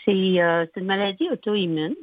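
A woman in her sixties, a native English speaker from Ottawa, speaking French as a guest with a slow, halting manner and frequent throat clearing. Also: mid-range voice, no echo. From Ottawa, Canada. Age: 60s. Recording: tinny phone line.

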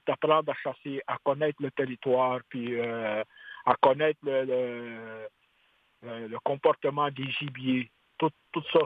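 A man, a singer-songwriter guest on a radio interview, talking haltingly with many restarts and fillers. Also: medium pitch. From Quebec. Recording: tinny phone line with faint hiss.

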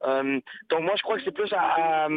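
A man's voice: fairly high pitch, normal speed, crowd noise. A man, a guest artist, talking in a casual interview, reflective and searching for words with frequent restarts. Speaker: guest artist, rapper and painter. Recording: tinny phone-like line.

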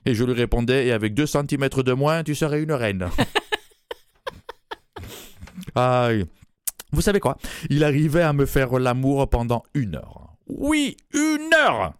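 A man's voice: medium pitch, measured pace, pauses often, clear, resonant tone, no room sound, studio quality, natural voice. A man doing a bawdy Toulouse woman. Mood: cheeky. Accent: Toulouse, southern French. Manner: bawdy, punning, chuckles at own jokes. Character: brash mannish Toulouse woman, ex-rugby player, bawdy and boastful. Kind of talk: radio comedy sketch.

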